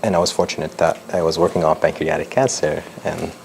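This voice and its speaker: Deep, gravelly-voiced young man. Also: flat delivery, fast talker, slight background noise. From Lebanon. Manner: hesitant, many ums and restarts. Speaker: PhD researcher giving a talk. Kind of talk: public science talk.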